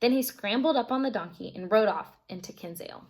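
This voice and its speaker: A woman teacher reading a children's storybook aloud in an expressive narrating style. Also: medium pitch, brisk.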